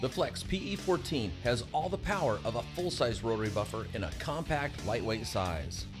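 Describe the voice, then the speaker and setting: Male ad announcer, scripted, varied intonation over clear guitar music. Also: mid-range voice, lively intonation, normal speed. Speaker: advert announcer. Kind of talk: scripted product commercial voice-over.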